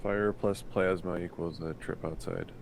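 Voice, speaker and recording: A man's voice: deep voice, steady tone, measured pace, noisy background. A man chatting casually as a player in a tabletop roleplaying game.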